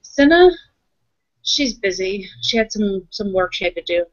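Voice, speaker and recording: A woman with a medium-pitched voice improvises as a tabletop roleplayer. She talks informally and corrects herself as she goes. The audio is dry and a little muffled. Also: animated, a little slow, no room sound. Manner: informal, loose, self-correcting chatter. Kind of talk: casual improvised roleplay and narration.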